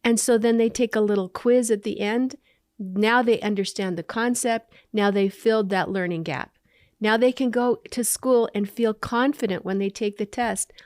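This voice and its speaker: A woman's voice: a little high, fairly even intonation, normal speed, a little mellow, dry recording, studio quality. A middle-aged woman, a company founder, answering interview questions in an upbeat, explanatory way, often listing examples. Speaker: company founder and CEO, guest.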